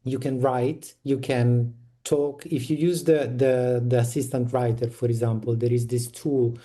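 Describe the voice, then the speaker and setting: Guy on a video call, warm low-key tone, explains step by step, restarts a lot. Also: little pitch movement, a little slow. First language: Italian. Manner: practical, explanatory, frequent restarts. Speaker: panelist on community toolkit. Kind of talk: online meeting talk.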